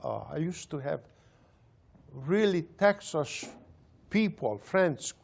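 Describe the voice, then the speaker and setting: An older man from Hungary with a Hungarian accent, telling stories in halting, broken English and laughing often. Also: fairly high pitch, animated, gravelly. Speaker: panelist. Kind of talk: casual storytelling.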